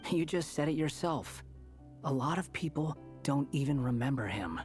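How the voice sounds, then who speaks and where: A woman's voice: deep voice, lively intonation, moderate pace, darkish tone. A woman voicing an aloof, sullen male stray cat in a video game, curt and dismissive. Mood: aloof, irritated. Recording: faint music behind.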